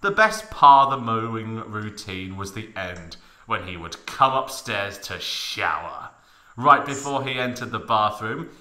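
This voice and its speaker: A young man narrates in a mock-dramatic style with exaggerated emphasis. His voice is very bright and forceful, swinging widely in pitch, and it rings in an echoey room. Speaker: podcast narrator. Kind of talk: mock-dramatic comic reading of fan fiction.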